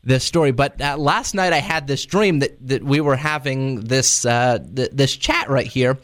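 A man's voice: mid-range voice, normal speed, a bit bright. A man hosting an interview, talking casually and rambling with many hesitations and chuckles. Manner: rambling, hesitant, laughing asides. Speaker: radio interviewer.

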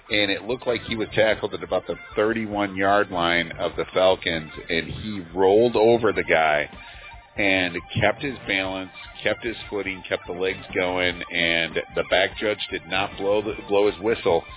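A man in his fifties, a radio announcer chatting casually about a high school football game. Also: moderate pace. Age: 50s. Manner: relaxed, chatty, with frequent fillers. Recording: tinny phone-like radio line with faint music.

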